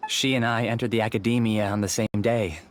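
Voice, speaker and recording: Man playing a deep, courteous, calculating scholar. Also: steady tone, a little fast, close to an everyday voice. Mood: wistful, confessional. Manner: courteous, theatrical, smooth-talking. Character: polite, calculating male scholar, obsessed and grieving. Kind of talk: video game voice acting.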